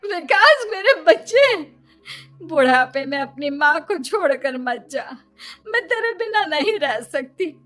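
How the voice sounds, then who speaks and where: A woman's voice: very high voice, sing-song, slow pace, sharp tone, faint music behind, voice pushed up, full character voice. A woman voicing an elderly, clinging, dramatic Indian mother in a children's cartoon. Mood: pleading, tearful. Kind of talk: Hindi children's cartoon dubbing.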